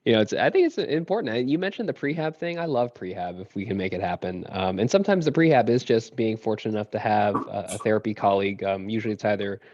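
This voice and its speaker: A man's voice: on the low side, animated, fast talker, hardly any pauses, a little mellow, dull audio. A male surgeon co-hosting a podcast, chatting casually and self-deprecatingly with frequent laughs.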